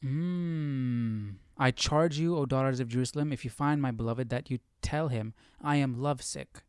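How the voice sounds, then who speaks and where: A man hosting a live Bible study, reading and commenting casually in a musing, questioning, halting way. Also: medium pitch, smooth, no echo, clean studio sound.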